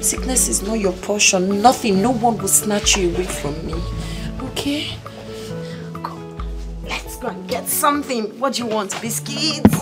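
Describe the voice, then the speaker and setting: A woman's voice: mid-range voice, a little slow, over loud music, lightly acted. An actress playing a caring wife and mother, who speaks to her family in a soothing, reassuring way. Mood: tender.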